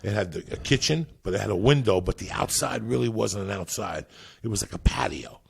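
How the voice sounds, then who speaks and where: Gravelly, deep-voiced Cuban-born storyteller. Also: male voice, animated, no room sound. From Cuba, raised in New York and New Jersey. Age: 50s. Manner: profane, streetwise, rapid storytelling. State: stoned. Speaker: guest comedian.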